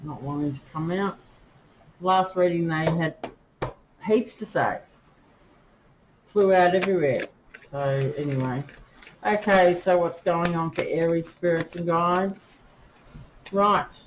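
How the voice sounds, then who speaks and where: Slow-talking woman reading tarot. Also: lowish voice, rough recording. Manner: chatty, many fillers, talks to spirits while drawing cards. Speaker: tarot reader. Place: indoors with rain outside. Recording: faint rain noise behind.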